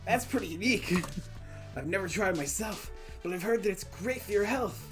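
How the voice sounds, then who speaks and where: A woman's voice: medium pitch, animated, moderate pace, natural voice. A woman voicing a polite, hesitant, wryly skeptical student protagonist in a video game, in a careful conversational way. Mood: polite, curious. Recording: prominent game music behind.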